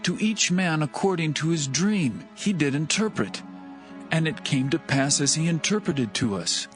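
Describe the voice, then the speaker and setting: A man's voice: a little high, expressive, normal speed, close to an everyday voice. A man playing a contrite royal servant in a dramatized scripture reading, earnest, formal and deferential. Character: contrite royal servant confessing and recounting the past. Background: soothing background music.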